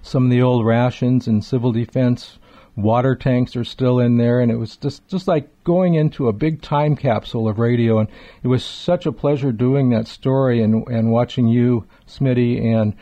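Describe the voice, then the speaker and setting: A man co-hosting a radio show in a conversational, effusive, wordy way, with a mellow voice. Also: mid-range voice, fairly even intonation, moderate pace, highs cut off.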